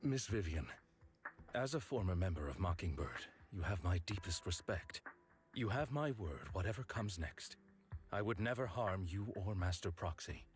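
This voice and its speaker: A composed game character, a formal envoy with a low, deep voice. He is polite and measured, every phrase courteous, with music playing underneath. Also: expressive. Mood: respectful, sincere. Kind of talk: video game voice acting.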